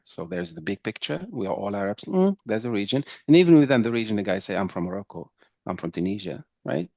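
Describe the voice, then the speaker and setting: Man; chatty trainer lecturing a group; low pitch, dry room. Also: dry recording. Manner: conversational, checks in with "right?". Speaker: intercultural trainer. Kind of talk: workshop lecture with audience.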